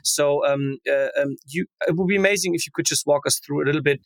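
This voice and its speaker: A man's voice: fairly high pitch, fast talker, no echo. A man hosting a podcast, asking long enthusiastic questions full of fillers and restarts. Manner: enthusiastic, rambling, many fillers. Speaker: podcast host. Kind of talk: conversational interview questions.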